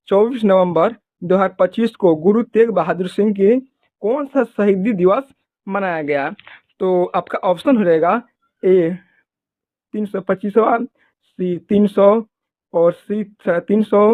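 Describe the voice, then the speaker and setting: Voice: mid-range voice, no echo. A quiz presenter reading multiple-choice exam questions and answers in a formulaic, repetitive way. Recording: muffled phone-like audio.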